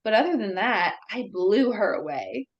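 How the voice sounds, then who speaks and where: A woman's voice: mid-range voice, lively intonation, normal speed, highs cut off, lightly acted. A woman acting a brash, foul-mouthed young woman in a table read of a horror film script. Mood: boastful, chatty.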